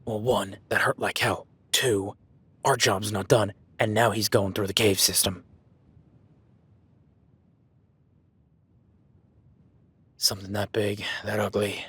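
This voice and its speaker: A man playing a husky, tense cowboy hunter. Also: on the low side, steady tone, normal speed, no echo. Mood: determined. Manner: hushed whispering while stalking; swearing, commanding, urgent. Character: gruff rugged cowboy hunter tracking a beast. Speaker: ranch hand tracking a beast. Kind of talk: audio roleplay.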